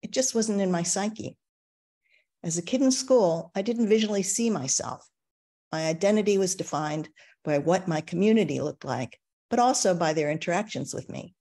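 An older woman from the United States reads a personal essay over a video call in a measured, reflective way. Her voice sits low for a woman, and she leans into emphatic moments. She sits in a book-lined home study. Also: animated, dark tone. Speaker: essayist reading her work. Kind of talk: reading a personal essay aloud.

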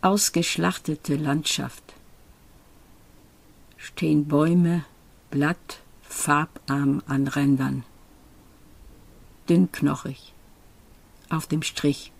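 A woman reading poetry aloud in an expressive literary style, with measured, deliberate phrasing and pauses. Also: sits low, gravelly. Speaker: poetry reader.

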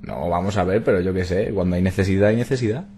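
A man's voice: low-pitched, quick pace, dark tone. A young man with a Spain Spanish accent making a prank phone call in casual, stumbling, repetitive phrases. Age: young adult. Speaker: prank caller and video host. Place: small bedroom indoors. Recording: noisy hum behind voice.

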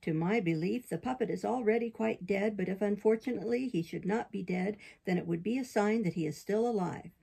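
A woman's voice: medium pitch, fairly quick, gravelly, hardly any pauses, dark tone, breathy edge. A woman voicing a pompous crow doctor from a storybook, pronouncing things solemnly.

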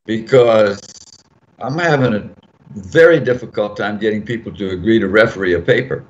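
Older male editor, deep voice, rambling and self-correcting, muffled video-call audio. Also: moderate pace. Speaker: journal editor on a panel. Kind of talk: casual panel talk. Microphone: video call mic.

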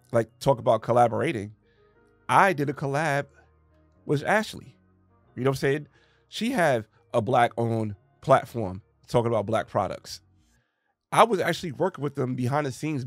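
A man's voice: mid-range voice, no room sound. A man in his thirties, getting over a cold, reacting to a clip from his small home studio with emphatic rhetorical questions. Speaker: commentary channel host. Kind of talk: reaction commentary. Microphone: studio mic on boom arm, headphones.

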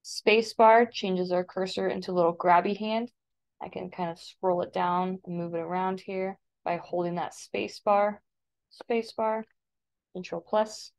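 An American woman teaching a step-by-step software tutorial in an informal, instructive way. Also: medium pitch. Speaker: digital design teacher. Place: voice-over for a screen recording.

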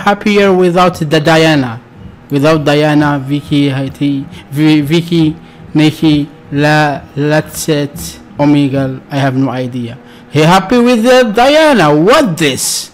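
Halting Algerian man hosting reactions. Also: fairly high pitch. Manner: halting, searching English with many restarts. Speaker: reaction video host. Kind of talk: casual reaction commentary. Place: small dark room indoors. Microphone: desk mic close to face.

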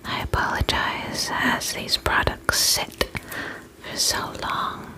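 Woman doing asmr spa roleplay, all breathy whisper, super slow n soothing, gentle vampire attendant.

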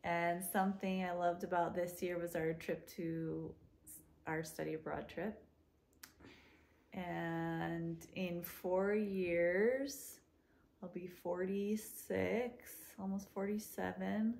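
A woman in her forties with a lowish, gravelly voice who hesitates and thinks aloud as she answers. A phone camera on the far side of a home living room picks her up. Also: steady tone, takes it slowly, darkish tone. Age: 40s. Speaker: mother in a family video. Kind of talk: casual home video. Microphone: phone camera across the couch.

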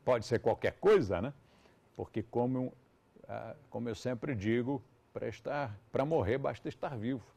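A man's voice: medium pitch, normal speed, vocal fry, dark tone, slightly rough. A man in his seventies with a Brazilian Portuguese accent, giving a live lecture to students in a conversational way with ironic asides. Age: 70s. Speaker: yoga teacher.

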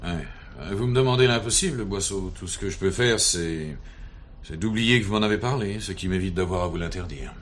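Police director, fairly low pitch, measured, cautious, authoritative, vintage radio drama, slight noise. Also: male voice, a bit bright. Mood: cautious, official. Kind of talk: French 1970s radio drama acting. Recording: faintly noisy old radio drama recording.

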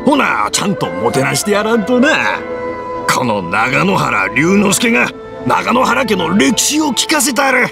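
A man's voice: high voice, huge pitch swings, measured pace, vocal fry, full character voice. An actor playing a cheerful, hard-of-hearing old fireworks-maker father with a Kansai accent, folksy and fond of storytelling. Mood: jovial. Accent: Kansai Japanese. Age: older. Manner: folksy, talks past others, storytelling. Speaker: shopkeeper. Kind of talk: game voice acting. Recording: game audio with music behind.

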